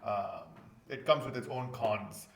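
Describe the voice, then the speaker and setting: A man's voice: mid-range voice, slow pace, crowd noise. A man from India speaking casually as a guest in an interview, recorded in an echoing room. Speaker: interview guest, golden visa client. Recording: roomy, echoing indoor sound.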